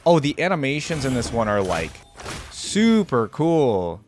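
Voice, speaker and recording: A man's voice: mid-range voice, very animated, unhurried, a little hiss or hum. A man hosting a reaction video with casual, off-the-cuff commentary, informal and exclamatory as he thinks aloud. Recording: music and game audio behind voice.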